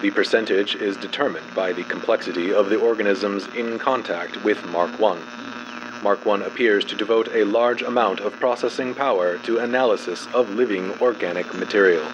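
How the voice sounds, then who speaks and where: A man giving a formal, measured, scripted dramatic narration of a clinical report. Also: lowish voice, noisy background.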